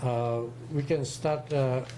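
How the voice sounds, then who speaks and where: Man, 70s, strong Polish accent, hesitant and searching for words, mellow, faint background hum. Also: medium pitch, steady tone. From Poland. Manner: halting, searching for English words. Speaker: co-host. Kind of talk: casual livestream talk.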